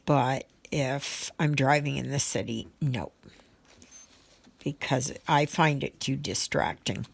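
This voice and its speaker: A woman talks in a low voice at a very slow pace, with some fry in it. She chats casually while crafting, pausing and wandering from thought to thought as if thinking out loud. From Canada. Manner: unhurried, halting, thinking aloud. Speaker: craft vlogger. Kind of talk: casual rambling chat while stitching.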